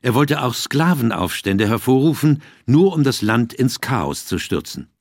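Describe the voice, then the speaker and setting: A man's voice: medium pitch, big pitch swings, unhurried, no room sound, studio quality. A man reading a scripted, explanatory audiobook text. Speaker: audiobook reader.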